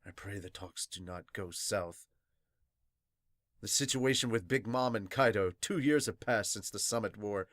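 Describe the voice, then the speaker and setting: A man's voice: fairly low pitch, a little slow, a bit bright, dry recording. A man voicing a haughty government elder with a formal, grave delivery in an amateur manga dub. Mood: grave, ominous. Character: solemn, haughty government elder plotting to cleanse the world.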